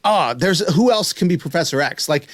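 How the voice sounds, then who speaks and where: A man's voice: fairly high pitch, lively intonation, no room sound. Dry-recorded man chatting casually. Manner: informal, enthusiastic, halting. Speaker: podcast co-host. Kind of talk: casual fan chat.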